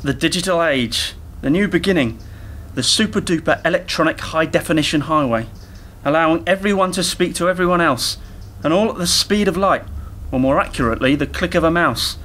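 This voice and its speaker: An actor playing an eager, naive younger techie in a radio play, rambling and over-explaining earnestly. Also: male voice, on the high side, a little fast, fairly bright tone, slight background noise, close to an everyday voice. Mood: chatty, keen. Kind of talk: community radio play dialogue.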